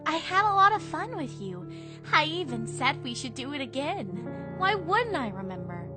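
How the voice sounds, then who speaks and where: A woman playing a sensible, inquisitive young female pony in a fan audio drama, articulate and gently skeptical. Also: high voice, big pitch swings, normal speed, music in the background. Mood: concerned, puzzled. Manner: questioning, articulate. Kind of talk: animated fan-fiction audio drama acting.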